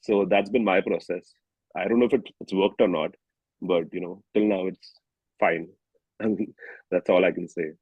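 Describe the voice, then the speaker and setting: A man with an Indian accent speaking reflectively as an interviewed singer-songwriter, haltingly and often rephrasing himself. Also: deep voice, fairly even intonation, slightly rough, no echo, narrow, tinny audio. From New Delhi, India.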